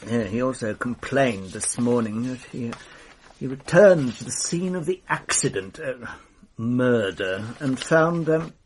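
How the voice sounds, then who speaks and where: An actor playing a stiff, measured police superintendent in a radio drama. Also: male voice, mid-range voice, lively intonation, slight background noise, natural voice. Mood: dry. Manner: dry, measured, hesitant.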